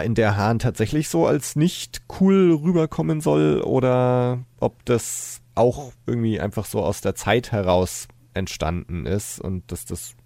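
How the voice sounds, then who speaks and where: A man with a fairly low voice hosts a casual podcast discussion. He talks reflectively, explaining as he goes, and the sound is dry with hardly any room. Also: gravelly, a little mellow, no room sound. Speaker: podcast host.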